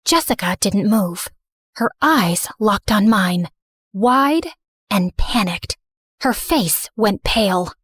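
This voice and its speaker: A woman's voice: medium pitch, expressive, slow pace, fairly bright tone, breathy edge, no room sound, studio quality, full character voice. A woman playing a hurt, guilt-ridden teenage girl who narrates her own story in a confessional, dramatic first-person style. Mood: sombre. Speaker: narrator and main character.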